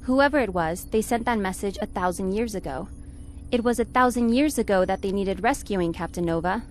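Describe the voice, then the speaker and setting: Quick-witted crewmate for retro space radio drama female actor: sensible, pointed, exasperated, medium pitch, light music behind. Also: close to an everyday voice. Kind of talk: 1950s space radio serial acting.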